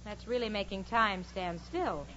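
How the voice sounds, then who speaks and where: A woman's voice: mid-range voice, a little slow, lightly acted. An actress playing a sensible, sarcastic young woman, impatient and easily exasperated, in a 1940s radio comedy. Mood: dry, sarcastic. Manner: dry jabs, exasperated urgency. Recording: muffled noisy old radio recording, studio audience.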